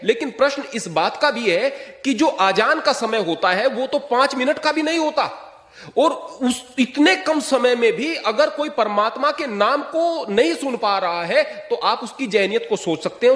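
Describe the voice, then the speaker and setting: A man's voice: very high-pitched, a bit bright. A man giving an earnest, explanatory statement as a holy man, reasoning formally in learned wording, recorded in a reverberant room.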